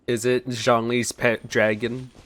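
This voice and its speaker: A man giving excited, exclaiming reaction commentary while playing a game. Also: mid-range voice, slow. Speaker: game streamer. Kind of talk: let's play reaction commentary.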